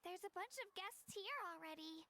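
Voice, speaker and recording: A woman's voice: very high voice, expressive, moderate pace, pushed and bright, slight background noise, heavily acted. A woman voicing a shy, sweet young girl in a video game, polite and a little hesitant. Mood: polite, flustered.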